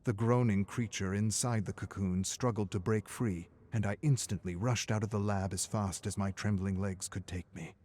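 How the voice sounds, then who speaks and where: A man voicing a weary survivor scientist recording game audio logs, measured and grave, his phrases trailing off into a hush. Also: low-pitched, slight background noise, natural voice. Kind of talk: scripted dramatic audio log narration.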